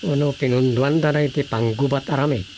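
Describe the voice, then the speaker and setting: A man's voice: mid-range voice, fairly even intonation, a little fast, darkish tone, lightly acted. A man playing a know-it-all, inquisitive everyman in a radio comedy drama, explaining emphatically with an Ilocano accent. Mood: earnest explaining. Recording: faint noise, insect ambience.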